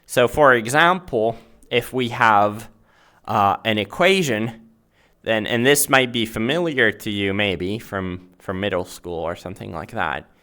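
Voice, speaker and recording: A man's voice: lowish voice, a little slow, bright, forceful tone. A man whose native language is Finnish gives an informal explanatory lesson, searching for words and often checking in with "right?". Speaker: host and math teacher. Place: virtual reality chat world.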